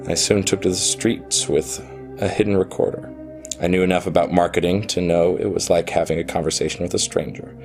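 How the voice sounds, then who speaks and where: An actor playing a reflective, quietly self-satisfied programmer who narrates a radio play in a confiding way. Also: male voice, deep voice.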